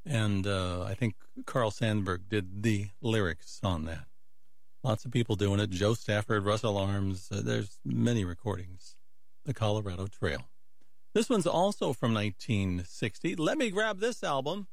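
Male radio host, creaky and folksy, rambling casually between records in a dry studio. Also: fairly low pitch, lively intonation, a little slow, dry recording. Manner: rambling, folksy asides to himself. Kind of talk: casual music show chat.

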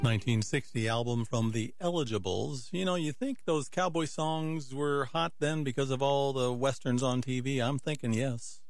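Gravelly man hosting a music show. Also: mid-range voice, normal speed, few pauses, no echo. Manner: rambling, folksy asides to himself. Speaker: radio host. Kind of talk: casual music show chat.

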